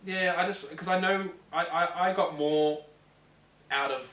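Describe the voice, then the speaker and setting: Bright-voiced man in an echoey room. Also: on the high side. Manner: hesitant, halting, repeats himself while thinking. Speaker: co-host of a gaming video show. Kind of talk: casual chat about films and games. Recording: roomy, distant mic with faint noise.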